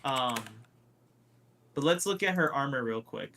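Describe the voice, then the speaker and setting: A man's voice: medium pitch, lively intonation, measured pace, pushed and bright. A man co-hosting a casual pop culture podcast, opinionated and swearing freely as he talks. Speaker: podcast co-host.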